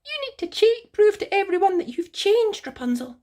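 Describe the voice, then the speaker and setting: A woman voicing a blunt, bossy female bird in a children's storybook, with a thin, squeaky voice. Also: very animated, normal speed, warm tone. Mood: brisk, instructing. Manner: scolding, matter-of-fact.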